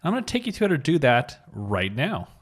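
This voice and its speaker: A man's voice: on the high side, wildly swooping pitch, a little fast, faint music behind. A man giving an upbeat, informal screencast coding tutorial, explaining things step by step. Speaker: web developer giving a tutorial.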